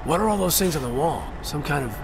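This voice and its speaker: A man's voice: a little high, lively intonation, quick pace, vocal fry, noisy background. An actor voicing a wary, wry male soldier squadmate. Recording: game audio with strong music.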